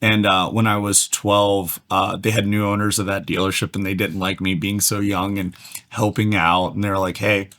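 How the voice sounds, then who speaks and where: A man from Atlanta, slightly hoarse, telling his story as an energetic, fast-talking podcast guest. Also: fairly low pitch. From Atlanta, USA. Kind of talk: casual storytelling interview.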